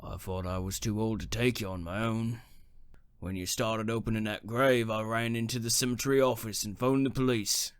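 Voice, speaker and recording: A man's voice: on the low side, steady tone, normal speed, clear, resonant tone, no echo, clean studio sound, natural voice. A man playing a plain-spoken old working-class cemetery worker in a radio drama, wry and colloquial. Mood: apologetic.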